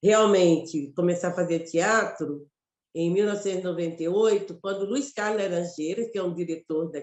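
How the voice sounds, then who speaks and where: A woman from Mato Grosso with a Mato Grosso Brazilian accent, an actress telling her story in an interview, reflective and halting, often restarting phrases. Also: on the low side, a little mellow, reverberant. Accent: Mato Grosso Brazilian Portuguese. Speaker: actress and guest.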